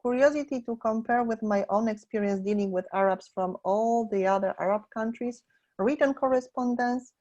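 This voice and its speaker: A woman moderates an online seminar as its host. Her voice sits slightly high for a woman. The sound is a dull, lo-fi internet call. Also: moderate pace, mellow. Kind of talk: introducing the speaker and reading out chat comments. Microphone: webinar call.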